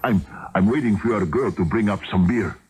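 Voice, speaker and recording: A man's voice: fairly low pitch, fairly quick, darkish tone, close to an everyday voice. A man acting in a 1970s radio drama as a shifty, nervous traveler who stammers and bluffs with false casualness. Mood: nervous bluffing. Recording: noisy 1979 radio broadcast recording.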